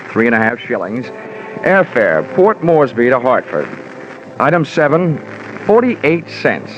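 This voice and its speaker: A male actor as a sardonic American private investigator narrating a vintage radio drama. He is dry, hard-boiled and colloquial, with a medium-pitched voice on a muffled recording with music behind. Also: natural voice. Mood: dry, droll. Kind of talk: 1940s radio detective narration.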